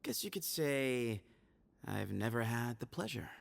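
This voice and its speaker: A sly young male schemer in a video game, smooth and teasing as he dodges questions. His voice has a gravelly edge and a lively, rolling pitch, with a music score underneath. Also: a little slow. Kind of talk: game cutscene dialogue.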